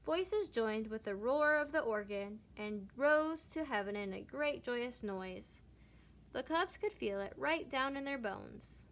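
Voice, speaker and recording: A woman reading a children's story aloud, lingering deliberately on certain words. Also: fairly high pitch, expressive, a bit bright. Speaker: storybook reader. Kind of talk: children's read-aloud narration.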